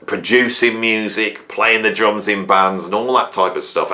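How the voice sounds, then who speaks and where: A man's voice: mid-range voice, pushed and bright. A man in his fifties hosting a music channel, talking to camera in a rambling, self-questioning way and often tagging his points with "right?". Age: 50s. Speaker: YouTube music channel host. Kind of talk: reflective solo talk to camera.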